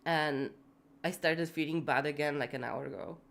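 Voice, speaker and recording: A woman's voice: deep voice, steady tone, normal speed, vocal fry, fairly bright tone. A woman streaming live while building in a city game, congested and feverish, rambling with lots of fillers and casual swearing. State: sick with fever, congested, voice going.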